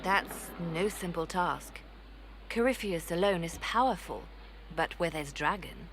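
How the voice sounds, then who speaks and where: An actress playing a stern, suspicious spymaster in a fantasy video game, measured and sceptical. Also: female voice, lowish voice, expressive, noisy background. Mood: doubtful.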